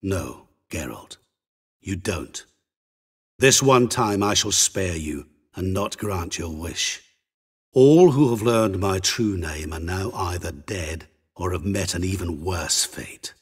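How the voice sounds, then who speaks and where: Sinister game trickster: slow, swooping, theatrical, smug, otherworldly. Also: male voice, low voice, expressive, breathy edge, full character voice. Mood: quietly menacing. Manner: theatrical, smug, teasing, deliberate. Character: suave, sinister otherworldly merchant who grants wishes. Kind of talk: video game voice acting.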